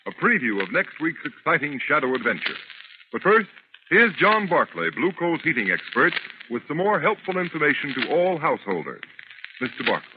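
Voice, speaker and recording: A man's voice: fairly high pitch, sing-song, normal speed, noisy background. A man announcing a scripted 1930s radio program in a dramatic, emphatic way. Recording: muffled 1930s radio recording with music.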